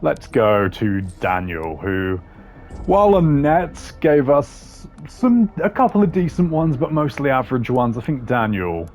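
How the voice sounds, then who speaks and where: A man's voice: mid-range voice, sing-song, unhurried, darkish tone, faint music behind. A man giving casual, slangy tier-list commentary in a blunt, opinionated way. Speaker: commentator ranking game voice lines.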